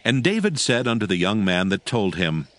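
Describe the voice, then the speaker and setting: A man narrating scripture in a steady, measured storytelling manner. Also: lowish voice. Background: faint background music.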